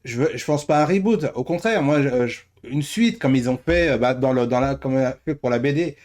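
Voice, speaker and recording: A man's voice: medium pitch, fairly quick, a little mellow. A man hosting a casual panel chat, often repeating words as he searches for phrasing. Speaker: host of a panel show.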